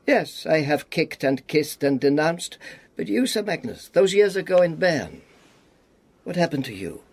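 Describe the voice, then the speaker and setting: A battered old-hand communist spy, radio drama, gravelly and raspy, wry n theatrical but fond. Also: male voice, fairly high pitch, big pitch swings, full character voice. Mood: bitter, reflective. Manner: theatrical, wry, deliberate clipped phrasing. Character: weary, sardonic, affectionate Czech communist spy, a battered survivor.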